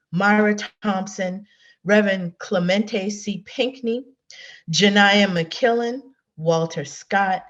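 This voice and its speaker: A woman poet giving a solemn poetry reading over a dull, lo-fi online call. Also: medium pitch. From South Carolina, USA. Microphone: online video call.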